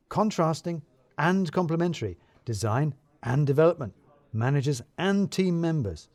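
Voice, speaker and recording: A man narrates a scripted story in the first person with dry, wry humour. His voice is mellow and mid-pitched, phrased with deliberation. The sound is close and dry. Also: no room sound.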